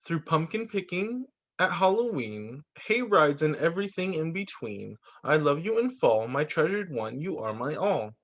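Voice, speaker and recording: A man who teaches young children, reading picture books aloud in an expressive, sing-song storytime delivery. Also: a little high, normal speed, hardly any pauses. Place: remote video call story session.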